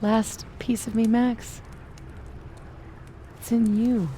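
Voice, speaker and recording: A woman's voice: a little high, flat delivery, slow pace, warm tone, slight background noise. An actress playing a wounded, defiant young woman in a video game, sardonic, bitter and profane. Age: young adult. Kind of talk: naturalistic video game acting.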